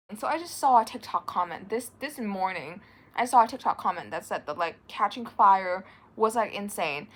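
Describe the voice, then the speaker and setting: A woman with a light Thai accent chatting excitably and dramatically as she reacts to a book she is reading, full of restarts. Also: medium pitch. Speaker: book vlogger. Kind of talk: casual reading reaction vlog. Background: faint background music.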